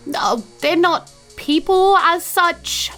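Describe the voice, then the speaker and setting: Woman as a bright, evasive hospital nurse. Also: extremely high pitch, slow, soft background music, voice pushed up, heavily acted. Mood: matter-of-fact. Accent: New Zealand. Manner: hesitant, explanatory, placating.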